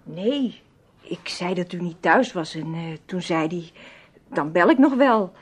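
A woman's voice: fairly low pitch, lively intonation, measured pace, husky. A woman playing a young housemaid in a Dutch radio play, deferential and matter-of-fact. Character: young, dutiful, plain-spoken female housemaid.

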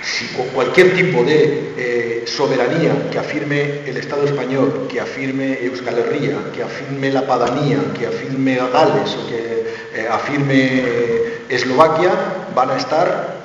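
Panelist, man, halting delivery with fillers, dull sound and faint noise. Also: mid-range voice, steady tone. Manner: hesitant, many fillers. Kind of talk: round-table debate. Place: theatre school hall. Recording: very echoey hall, distant, noisy.

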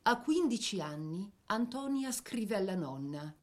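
A woman narrating a scripted biographical drama in an expressive, measured storytelling manner. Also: mid-range voice.

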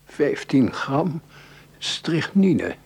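A man's voice: a little high, very animated, extremely slow, vocal fry, a little mellow, husky, exaggerated voice. A man acting in a radio play as a scheming, cynical educated doctor who muses darkly to himself. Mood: sly, polite.

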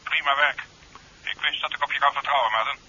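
An actor playing a stern, demanding police commissioner in a radio drama, clipped and authoritative, heard through a telephone. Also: male voice, medium pitch, steady tone, fast, sharp tone, breathy edge. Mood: brisk, questioning. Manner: clipped, authoritative, barking questions and orders. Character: stern, demanding male police commissioner giving urgent orders. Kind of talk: 1970s Dutch radio drama acting. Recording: dull 1970s radio recording, telephone sound.

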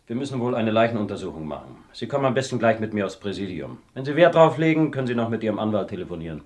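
An actor playing an authoritative male police inspector in a 1970s radio crime drama, official and measured. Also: lowish voice, big pitch swings, darkish tone. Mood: businesslike.

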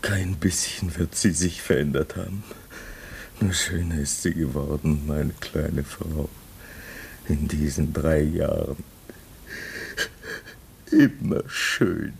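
A man's voice: very deep voice, fairly even intonation, slow pace, gravelly, a little mellow, slight background noise, full character voice. An actor playing a homesick, bitter man stranded at a polar station in a radio drama. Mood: wistful. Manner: bitter, despairing.

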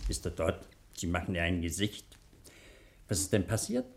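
Dignified, animated uncle and judge. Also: male voice, low voice, moderate pace, gravelly, a little hiss or hum, full character voice. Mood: reassuring, wry. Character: dignified, reassuring senior male judge and uncle. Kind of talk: 1960 German radio crime drama acting.

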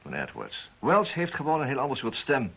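Methodical radio-play detective: husky, very varied intonation, businesslike and wry, on a dull recording. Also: male voice, medium pitch, huge pitch swings, brisk, a bit bright, exaggerated voice. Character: dry, methodical male private detective. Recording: dull lo-fi old radio sound.

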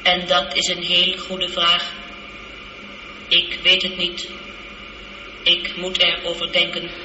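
An actress playing a calm, logical, seductive spaceship computer in a radio drama, speaking in a measured, precise and unemotional way. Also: female voice, lowish voice, exaggerated voice. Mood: calm, factual. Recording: muffled, echoey, noisy old radio recording.

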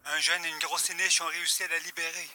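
A man's voice: on the high side, little pitch movement, fast, sharp tone. A man playing a villain's deferential accomplice in an audio drama, hesitant and questioning. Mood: uneasy.

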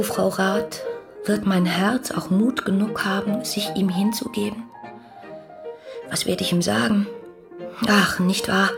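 An actress playing an ardent, dreamy young woman in a German radio play, effusive and lyrical in a breathy voice. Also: medium pitch. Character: ardent, dreamy young woman writing rapturous letters about her idol. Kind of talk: German radio play, literary letter monologue.

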